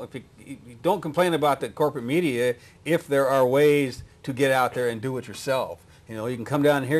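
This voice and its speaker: A man interviewing guests in a casual conversation, often affirming them. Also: mid-range voice. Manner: conversational, affirming with frequent "mm-hmm".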